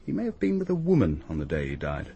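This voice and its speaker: A man with a British accent playing a pragmatic, world-weary police detective in a radio drama, dry, offhand and husky. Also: low voice, huge pitch swings, fast talker, mellow, dry recording, highs cut off, exaggerated voice. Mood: musing.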